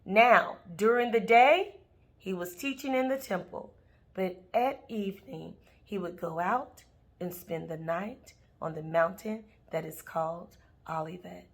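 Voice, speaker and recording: A woman reading scripture aloud in a measured, deliberate way, pausing between phrases. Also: lowish voice. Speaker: scripture reader.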